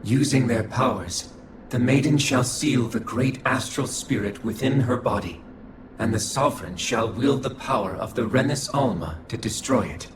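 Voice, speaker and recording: A man playing a calm, coldly logical alien observer in a video game, speaking formally and dispassionately. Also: medium pitch, noisy background, close to an everyday voice. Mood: detached, formal. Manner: formal, measured, dispassionate delivery. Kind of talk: scripted video game cutscene acting. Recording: echoing voice over background music.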